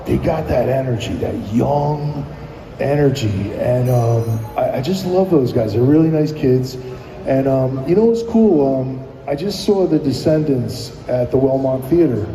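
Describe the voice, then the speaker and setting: A man's voice: medium pitch, animated, unhurried, dark tone, a little hiss or hum. A middle-aged American man, a musician guest, chatting casually and telling rambling, enthusiastic anecdotes. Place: live radio broadcast at a public plaza. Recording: echoey hall with music behind.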